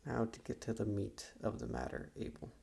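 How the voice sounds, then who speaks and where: A man playing a soft-spoken, quietly sinister psychiatrist in an ASMR roleplay, with measured, hesitant clinical speech and a husky, gravelly voice. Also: fairly low pitch, big pitch swings, exaggerated voice. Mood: quietly intent.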